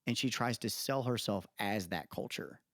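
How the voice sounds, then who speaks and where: A man's voice: lowish voice, moderate pace, a bit bright, no room sound, studio quality. A man hosting a podcast in casual, slangy conversation, opinionated and often checking that the listener follows him. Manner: opinionated, slangy, repeatedly seeks agreement with tag questions. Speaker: podcast host.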